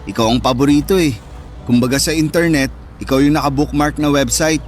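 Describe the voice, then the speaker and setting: Casting: male actor for wounded older brother in a Filipino-accented radio drama, highish voice, emotive and earnest, soft music bed. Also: fairly even intonation, a little fast, natural voice. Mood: hurt, resentful. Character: sensitive, hurt older brother, neglected by his mother. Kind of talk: Tagalog radio drama acting. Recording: music under the voice.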